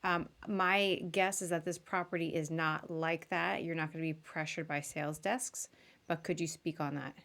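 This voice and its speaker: Woman podcast host, kinda low voice, chatty casual interview questions. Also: fairly even intonation, normal speed, a bit bright. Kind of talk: casual interview on a live video show.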